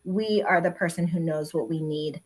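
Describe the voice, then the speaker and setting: A woman's voice: sits low, moderate pace, slightly rough. A woman guest on an online talk, explaining her work in an earnest, careful way. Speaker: guest expert. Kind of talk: casual chat.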